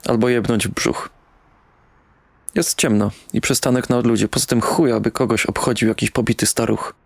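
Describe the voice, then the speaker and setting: An actor playing a bitter, brooding young man in an audio drama, narrating and speaking in a weary, terse deadpan. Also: medium pitch, moderate pace, vocal fry, no room sound. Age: young adult. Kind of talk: audio drama first-person narration and dialogue.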